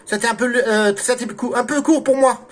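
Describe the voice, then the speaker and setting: A man with a high voice talks as an amateur radio operator. His style is casual and conversational, and he often closes his phrases with short tag words. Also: steady tone, a little fast, a little hiss or hum. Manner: frequent "hein" and "là" tags. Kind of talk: casual radio contact chat. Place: at home radio station. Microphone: desk microphone through a mixer.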